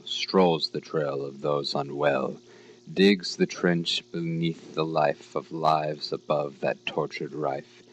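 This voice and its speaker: Male horror narrator, very low register, literary dramatic recitation, slight hiss. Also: fairly bright tone. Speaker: story reader. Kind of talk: scripted horror story narration.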